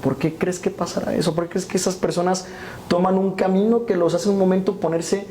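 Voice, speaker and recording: A man's voice: sits high, steady tone, fairly quick, mellow. A man with a Mexican Spanish accent hosting a panel debate and asking long, rhetorical questions. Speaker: debate show host. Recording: echoey studio with background noise.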